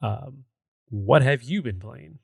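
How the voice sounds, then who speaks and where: A man's voice: mid-range voice, slow, vocal fry, husky, dry recording, clean studio sound. A man co-hosting a gaming podcast, chatting casually in a conversational, rambling way. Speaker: podcast co-host.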